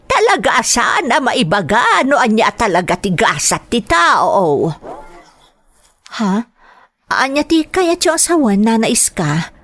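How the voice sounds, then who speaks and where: A woman acts out a gossiping village neighbor with an Ilocano accent in an audio melodrama. She pries and chatters in a very animated voice. She draws her words out for effect. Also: on the high side, slow pace, heavily acted. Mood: surprised, gossipy. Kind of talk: melodramatic audio drama acting.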